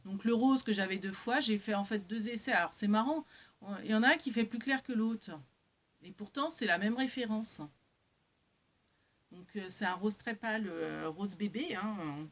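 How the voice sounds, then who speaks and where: A woman's voice: medium pitch, moderate pace, a bit bright. A woman chatting casually to her viewers as a craft vlogger, hesitant and rambling, often correcting herself as she goes. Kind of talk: casual haul chat to viewers, showing items. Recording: clear background noise with hiss.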